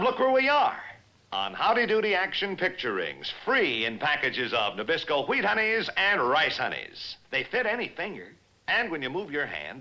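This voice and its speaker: A gushing boy puppet selling a free toy in a vintage ad. The sound is bright and sharp, and the voice is lively and a little high. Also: exaggerated voice. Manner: gushing, salesy. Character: excited boy puppet pitching a cereal prize. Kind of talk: vintage radio-style ad read.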